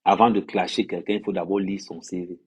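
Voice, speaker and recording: A man's voice: sits low, level tone, a little fast, slightly rough. A man from Cameroon, an influencer ranting on a livestream, scolding his audience and hammering home phrases by repeating them. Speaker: social media influencer. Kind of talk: livestream rant to followers.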